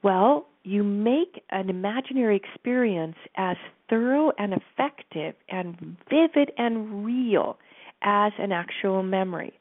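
A woman with a stuffy nose, calmly guiding listeners through an exercise from her office and speaking directly to them. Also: mid-range voice, measured pace. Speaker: host and coach. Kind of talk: guided teaching talk. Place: home office. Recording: narrow phone-like line.

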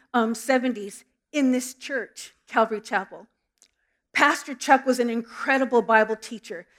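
A woman's voice: a little high, fairly bright tone. A middle-aged woman from Southern California preaching a sermon and praying in a church, earnest and storytelling, with small restarts. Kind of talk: sermon with prayer. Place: church sanctuary.

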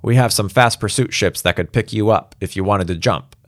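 A man playing an impersonal defense-zone officer on the radio, calm, procedural and matter-of-fact. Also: fairly low pitch, fairly quick, no echo, studio quality, natural voice.

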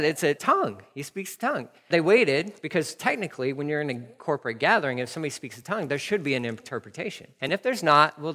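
A man preaching a sermon as pastor, telling stories in a lively, conversational way. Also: fairly high pitch. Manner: storytelling, animated and conversational. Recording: long hall reverb.

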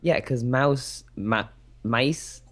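A young man co-hosting a podcast in casual, joking chat, keeping his voice down at night. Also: very slow. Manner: joking, keeps voice low for sleepers at home. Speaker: podcast co-host.

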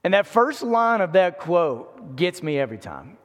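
A man's voice: high voice, sing-song, moderate pace. A man in his forties preaching in a conversational, emphatic style, repeating phrases for weight. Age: 40s. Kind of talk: conversational sermon. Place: large indoor room before an in-person audience. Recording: echoey hall with long reverb.

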